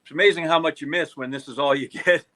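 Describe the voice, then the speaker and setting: Higher, bright-voiced man. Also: fast talker. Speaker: interviewer, co-host. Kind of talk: casual interview questioning.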